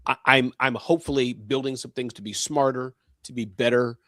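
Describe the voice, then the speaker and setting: A man in his fifties chatting casually as a panelist, with an animated, emphatic way of talking. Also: medium pitch, a little fast, no echo, clean studio sound. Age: 50s. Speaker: panelist on a small business show. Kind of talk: casual chat.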